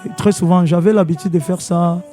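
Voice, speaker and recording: A man's voice: high voice, steady tone, fairly quick, mellow, faint music behind. A man from Congo, a musician guest in a radio studio interview, mixing in Swahili and hesitating with many fillers.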